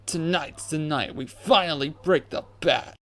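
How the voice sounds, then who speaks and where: A man playing a taunting, vengeful street thug, loud and jeering, in an amateur video game fan dub. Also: a little high, measured pace.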